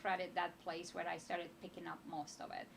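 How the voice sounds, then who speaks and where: A woman's voice: on the low side, flat delivery, fairly quick, creaky, a bit bright, a little hiss or hum. A woman in her fifties from Romania with a slight Romanian accent, a guest telling her story in a casual interview with lots of fillers and restarts. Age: 50s. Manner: rambling, with frequent fillers and restarts. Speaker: financial advisor guest.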